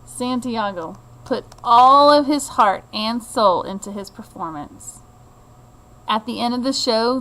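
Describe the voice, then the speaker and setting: A woman reading a children's picture book aloud in an expressive, deliberate way, like a teacher to a child. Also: a little high, rough recording. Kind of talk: children's storybook read-aloud.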